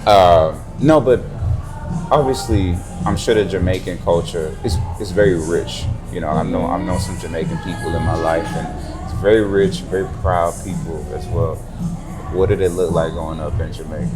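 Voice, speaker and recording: A man's voice: low-pitched, fairly even intonation, moderate pace, loud music behind the voice. A young man hosting a casual sit-down conversation, talking in a laid-back, slangy way. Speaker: host.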